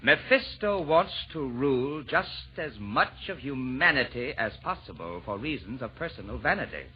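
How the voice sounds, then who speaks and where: Unflappable, courteous old gentleman acted by a man, lively rhyming delivery, vintage radio play. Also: mid-range voice, normal speed, lightly acted. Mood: reasoning. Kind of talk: old-time radio verse comedy acting. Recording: dull, noisy vintage radio recording.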